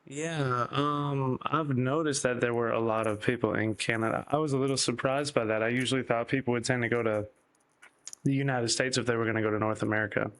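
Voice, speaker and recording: A man hosts a relaxed interview, his voice at an everyday middle pitch. He asks his questions in a loose, rambling way, full of ums and false starts. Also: fairly quick. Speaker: host. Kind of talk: casual interview hosting.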